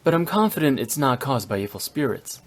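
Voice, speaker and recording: A man playing a courteous, composed young nobleman in a video game, speaking in a measured, formal way. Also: medium pitch, sing-song. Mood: somber, composed. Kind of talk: English video game voice acting.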